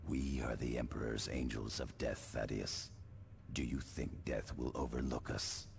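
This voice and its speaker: An actor playing a grim, morbid veteran space marine sergeant in a video game, gravelly and solemn. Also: male voice, extremely low pitch, steady tone, a little slow, darkish tone, a little hiss or hum, full character voice. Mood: grim, fatalistic. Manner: solemn, fatalistic.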